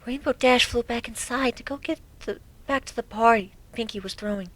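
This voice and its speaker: A woman acts out a gentle, anxious young pegasus mare with a high, soft voice. She speaks hesitantly and can sink toward a whisper. It is a first-person dramatic reading of a cartoon fan story. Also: fairly quick, natural voice. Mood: timid, nervous. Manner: hesitant, soft-spoken, breaking into near whispers.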